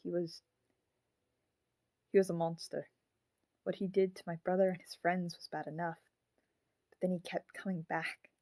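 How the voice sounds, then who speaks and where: A woman voicing the grown-up ghost of a murdered child in a puppet, speaking haltingly and solemnly in an audio drama. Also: fairly low pitch, a little mellow, no room sound. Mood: bitter, grieving.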